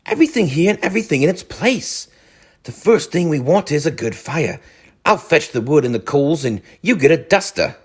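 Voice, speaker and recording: Man as storybook rat, raised pitch, cheery, hearty, brisk, encouraging. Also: very animated, breathy edge, full character voice. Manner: hearty, upbeat, chummy British-storybook phrasing.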